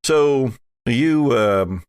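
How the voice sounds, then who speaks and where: A man voicing an amiable FBI agent who asks questions in a halting, inquisitive way for a roleplaying game. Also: medium pitch, lively intonation, darkish tone, clear, resonant tone, dry recording, clean studio sound, voice pushed up. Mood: probing.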